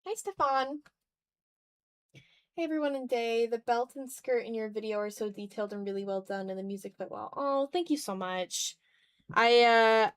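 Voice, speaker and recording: A woman's voice: fairly high pitch, clear, resonant tone, no echo. A tired woman chatting casually on an art livestream while she draws, self-deprecating and often trailing off into muttering to herself.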